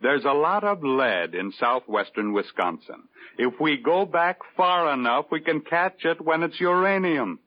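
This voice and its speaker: A man's voice: fairly high pitch, animated, unhurried, dull audio. An actor playing an obsessed government man in a 1950s radio drama, pleading his case urgently and emphatically. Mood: insistent. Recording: band-limited 1950s radio recording.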